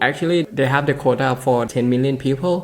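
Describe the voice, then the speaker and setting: A man's voice: mid-range voice, racing, a little mellow. A man with a Thai accent co-hosting a podcast, chatting casually in simple non-native English. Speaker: podcast co-host. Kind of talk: casual chat.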